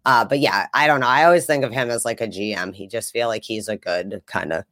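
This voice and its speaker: A man's voice: lowish voice, a little fast, sharp tone, no room sound, clean studio sound. A man co-hosting a sports podcast in casual chat, rambling and thinking aloud in a conversational way.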